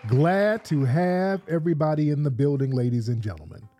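A man's voice: fairly high pitch, lively intonation, moderate pace, warm tone, soft background music. A man hosting a late-night live show, congested, talking in a hyped, casual way and repeating himself. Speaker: host.

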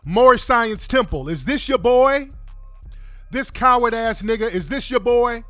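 A man's voice: very high-pitched, lively intonation, unhurried, pushed and bright, no room sound, faint music behind. A congested man ranting bluntly and profanely to his viewers in an exasperated commentary. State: congested from a cold. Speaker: online commentator.

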